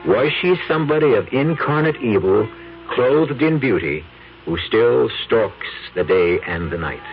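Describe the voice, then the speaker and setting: A man hosting a 1970s radio mystery show, with ominous, dramatic narration and slow emphasis. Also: medium pitch, animated. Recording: dull vintage radio broadcast with music.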